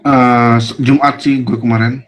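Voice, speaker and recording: A young man with a non-Jakarta regional Indonesian accent, telling stories as a podcast guest in a relaxed, rambling way. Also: mid-range voice, a little slow. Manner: laid-back, rambling with fillers and laughs. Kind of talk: casual storytelling chat.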